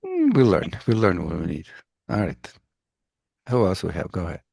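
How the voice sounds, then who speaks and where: Prof guy on a video call, kinda low and gravelly, dead dry sound, rambles and restarts a lot. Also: huge pitch swings, moderate pace, warm tone, no room sound. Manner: rambling, anecdotal, self-interrupting. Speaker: professor. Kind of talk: online class introductions.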